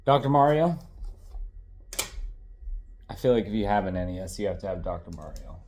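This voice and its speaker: A man's voice: low-pitched, very animated, fairly quick, darkish tone, a little hiss or hum. Congested, tired man rambling about old games. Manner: rambling, self-correcting, swears casually. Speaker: retro game collector. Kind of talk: casual chat showing a game collection.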